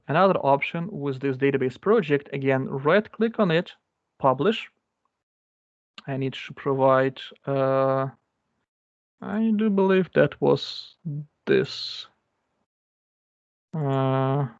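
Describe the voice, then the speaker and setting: A man's voice: a little high, no room sound. A man walking through a database demo in English, which is not his first language, talking in a loose, explanatory way with lots of fillers over a computer microphone. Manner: explanatory, repeats phrases, many fillers. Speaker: guest speaker. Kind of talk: live coding demo. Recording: dull lo-fi dry sound.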